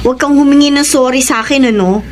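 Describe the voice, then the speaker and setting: An actress playing a young woman in love, playful and expressive, in a Filipino radio drama. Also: sits high, quick pace, background noise, lightly acted. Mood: defensive, confiding. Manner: expressive, teasing, swooping exclamations. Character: young woman in love, playful with her lovelorn male friend.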